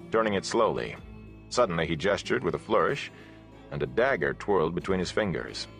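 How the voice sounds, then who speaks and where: A man reading a fantasy novel as an audiobook narrator, with a measured, dramatic storytelling delivery. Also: deep voice, fairly bright tone.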